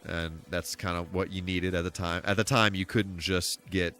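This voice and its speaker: A man's voice: deep voice, fairly even intonation, moderate pace, bright, forceful tone. An American man giving casual, rambling, good-humored commentary on a gaming livestream. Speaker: live streamer. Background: game music.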